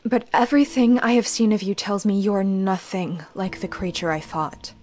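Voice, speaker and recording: A woman's voice: medium pitch, normal speed, a little mellow, close to an everyday voice. Woman voicing an earnest young female necromancer. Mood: reassuring. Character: young female necromancer, serious, tough, considerate. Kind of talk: visual novel dialogue read aloud.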